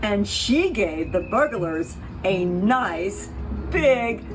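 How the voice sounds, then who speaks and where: A woman reading a children's picture book aloud in an expressive, deliberate way, with playful asides to her listeners. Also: medium pitch, music in the background. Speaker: picture book reader for a pet rescue show. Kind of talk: animated children's storybook read-aloud.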